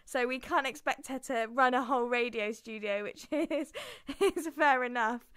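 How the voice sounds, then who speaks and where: A woman's voice: high voice, normal speed, fairly bright tone, smooth, no room sound, studio quality. Chatty young woman radio host. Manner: chatty, laughs through technical hitches. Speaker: student radio show host. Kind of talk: casual radio presenting.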